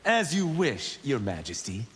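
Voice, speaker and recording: A young Shaolin fighter full of playful confidence. His mid-pitched, animated voice banters cockily in a reverberant game mix. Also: a little slow, breathy edge, heavily acted. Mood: teasing. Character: cocky, wisecracking young Shaolin monk fighter. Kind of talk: video game character acting.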